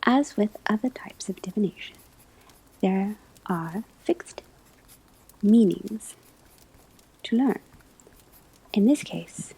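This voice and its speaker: Here is a scholarly witch professor, acted by a woman, giving an ASMR classroom lecture. The voice is very breathy and soft, often slipping into a whisper, with a mellow tone. The delivery is slow, formal and deliberate, patient with a gently stern edge. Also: medium pitch, animated, heavily acted, low-quality recording. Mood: instructive, measured.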